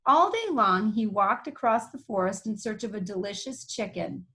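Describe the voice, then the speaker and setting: Animated woman reading a children's story aloud. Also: mid-range voice, normal speed. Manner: explains words as she reads. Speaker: read-aloud host.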